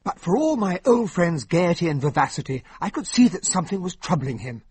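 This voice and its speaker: An actor playing a genial, candid English gentleman, the loyal friend of a detective, bluff and expressive in a radio drama. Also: sits high, fairly quick, slightly rough, full character voice. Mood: narrating. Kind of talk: radio drama acting and first-person narration.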